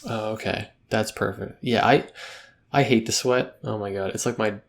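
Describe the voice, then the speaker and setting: Gravelly, teasing podcast co-host man. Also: fairly low pitch, steady tone, normal speed. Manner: teasing, wisecracking, laughing. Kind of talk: casual chat.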